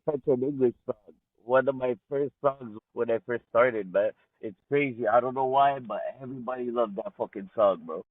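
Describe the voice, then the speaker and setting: Laid-back rapper guest on a tinny call line. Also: male voice, medium pitch, normal speed, no echo. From Montreal, Canada. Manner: laid-back slang, frequent "you know", "man", "bro". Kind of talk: casual interview chat.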